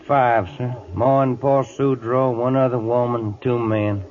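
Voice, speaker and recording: An actor playing a somber, respectful cavalry soldier reporting in an old radio drama, halting and deferential. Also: male voice, mid-range voice, little pitch movement, slight background noise, natural voice. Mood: grim, dutiful. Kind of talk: 1940s-50s radio drama acting. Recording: thin, phone-like old radio recording.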